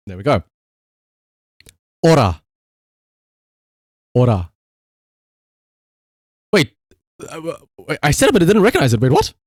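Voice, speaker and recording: A man's voice: mid-range voice, a little mellow, dry recording, clean studio sound. A man doing casual live game-stream commentary, swearing freely and repeating short command words.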